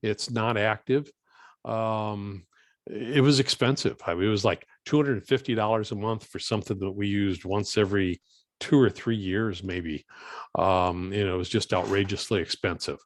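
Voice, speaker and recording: Low-voiced man explaining in halting steps. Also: no room sound, studio quality. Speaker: guest presenter and volunteer coordinator. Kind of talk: informal question-and-answer after a talk.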